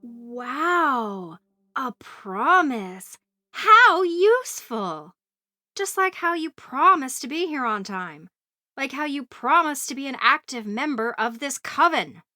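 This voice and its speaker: A woman's voice: sits high, expressive, takes it slowly, bright, forceful tone, no echo, clean studio sound, exaggerated voice. A woman playing an angry, mocking young witch in an audio drama, sarcastic, scornful and sweary. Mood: bitter sarcasm.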